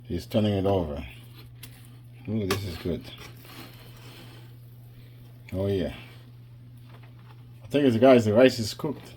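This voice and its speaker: A man's voice: fairly low pitch, expressive, measured pace, mellow, slight background noise. A man casually talking viewers through a home cooking vlog, chatty and addressing them directly. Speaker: cooking vlogger.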